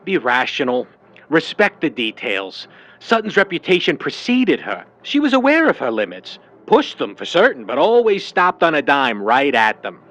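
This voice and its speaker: An actor playing a wary, slangy Hollywood agent in a hard-boiled 1940s-style noir audio drama. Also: male voice, fairly high pitch, big pitch swings, moderate pace, fairly bright tone, rough recording. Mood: insistent. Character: wary fast-talking old Hollywood talent agent, man.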